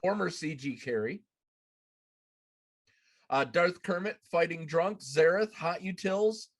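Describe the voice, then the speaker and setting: A man in his thirties with a slightly high voice hosts a live podcast. He talks casually, like someone chatting over a video call from a room at home. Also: slow pace. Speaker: podcast host. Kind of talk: casual chat on a live show. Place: small room at home, indoors.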